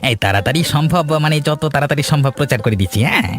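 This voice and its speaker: A man's voice: fairly high pitch, lively intonation, racing, lightly acted. A man voicing an eager, deferential assistant to a village headman in a cartoon, over music. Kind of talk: cartoon voice acting. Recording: music behind the voice.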